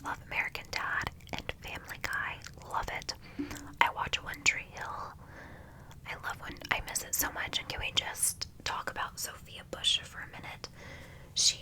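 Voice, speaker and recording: A young woman chatting in a soft whisper for an ASMR video, gushing with lots of ums, her voice stuffy and nasal from congestion. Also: high voice, low-quality recording. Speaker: ASMR creator.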